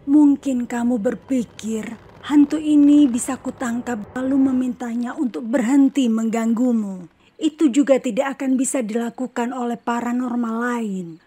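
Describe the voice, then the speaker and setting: A woman voicing a calm, self-assured psychic in a horror cartoon, speaking in a measured, often hushed way. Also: high voice, husky, exaggerated voice. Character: calm female psychic, middle-aged, sure of herself. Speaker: paranormal.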